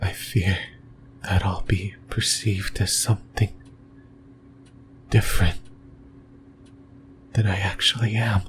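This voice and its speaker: Guy doing a creepy sleep demon for asmr, low breathy whisper, super slow, lonely and clingy. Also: little pitch movement, a little hiss or hum. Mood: anguished, fearful. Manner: slow, halting, brooding delivery. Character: lonely, possessive sleep paralysis demon, lost and yearning.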